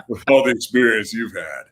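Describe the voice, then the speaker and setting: A man guests on an interview podcast, talking casually about his work. His voice runs somewhat low. He jokes easily and often makes light of himself. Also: expressive, normal speed, a bit bright. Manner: self-deprecating, jokey. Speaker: comic book writer, guest.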